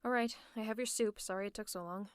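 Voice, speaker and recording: Woman acting as sarcastic female supervillain, ASMR roleplay, mid-pitched, teasing, scolding yet caring, close dry mic. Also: fast talker, clean tone, no room sound, natural voice. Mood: grudging care. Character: sardonic female supervillain, gruffly fussing over a sick hero. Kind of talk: ASMR roleplay, one-sided dialogue to the listener.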